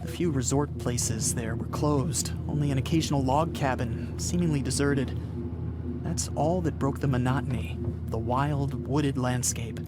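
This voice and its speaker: A man's voice: mid-range voice, fairly even intonation, measured pace, over loud music, lightly acted. An actor playing a haunted, increasingly frantic driver in a radio play, brooding as he narrates and stammering when agitated. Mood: brooding, uneasy. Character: haunted, increasingly frantic man driver recounting his cross-country journey. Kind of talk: dramatic first-person radio play narration and acting. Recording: loud background noise with vehicle ambience.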